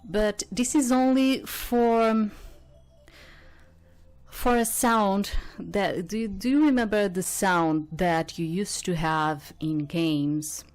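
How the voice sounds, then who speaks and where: A woman giving casual game commentary and reading aloud, thinking out loud in a hesitant, rambling way. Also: lowish voice. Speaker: host of a game playthrough.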